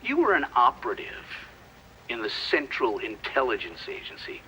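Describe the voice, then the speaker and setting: An actor playing a stern government committee questioner in a video game, formal, deliberate and official. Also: male voice, medium pitch, wildly swooping pitch, pushed and bright, a little hiss or hum, heavily acted, poor audio. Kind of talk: video game hearing-room acting.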